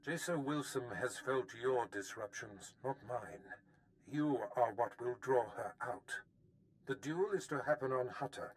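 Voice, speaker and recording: An actor playing a scheming, imperious older Sith master in a video game, haughty, theatrical and deliberate. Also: male voice, mid-range voice, natural voice, poor audio.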